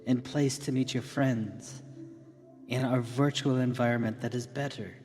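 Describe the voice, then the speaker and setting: A man playing a confident visionary company founder in a radio drama, delivering a grandiose keynote with deliberate dramatic pauses. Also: medium pitch, steady tone, a little mellow, slight background noise, close to an everyday voice. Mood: grand, visionary. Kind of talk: theatrical keynote address. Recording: long hall reverb with music behind.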